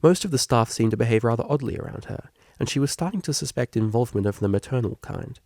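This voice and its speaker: A man reading scripted fiction aloud as a narrator, with a creaky voice. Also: medium pitch, lively intonation, fast, warm tone, no echo, clean studio sound. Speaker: audiobook reader.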